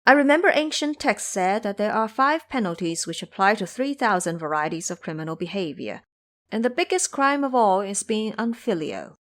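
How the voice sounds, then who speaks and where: A woman's voice: medium pitch, big pitch swings, normal speed, a bit bright. A woman voicing a proud, sorrowful captive princess in an audio drama, speaking in an earnest, formal and dramatic way.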